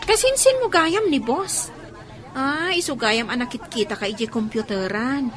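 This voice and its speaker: A woman's voice: sits high, animated, normal speed, a crowd in the background. A woman playing an inquisitive young student and shop worker in an Ilocano radio drama, with an Ilocano accent. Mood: curious, friendly.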